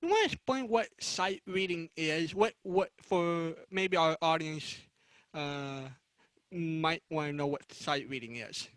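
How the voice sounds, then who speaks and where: A male moderator with a high, bright voice asks his questions haltingly, feeling for the words. The sound is dry and close. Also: no echo. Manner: hesitant, searching phrasing. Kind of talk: interview questions. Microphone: video call.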